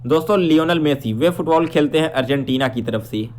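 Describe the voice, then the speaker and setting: A man's voice: on the high side, brisk, a little hiss or hum. A man presenting an exam-prep quiz lesson, explaining answers to students with frequent check-in tags and English terms mixed in. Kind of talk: instructional quiz walkthrough, addressing students.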